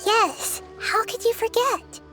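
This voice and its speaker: A woman's voice: very high-pitched, slow pace. A woman voicing a small, wise and kindly girl deity in a video game, thoughtful and inquisitive. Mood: puzzled. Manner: thoughtful, inquisitive, softly formal. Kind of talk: scripted video game dialogue. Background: faint music.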